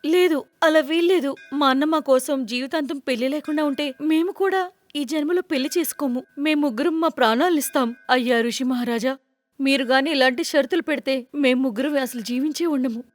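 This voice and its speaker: A woman's voice: sits high, fairly quick, natural voice. A woman voicing an emotional young unmarried sister in a cartoon moral story, speaking dramatically. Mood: protesting. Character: young unmarried sisters, haughty, later tearful and devoted.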